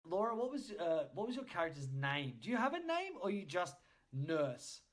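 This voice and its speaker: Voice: medium pitch, big pitch swings, normal speed, a crowd in the background. Playful, teasing podcast host chatting casually.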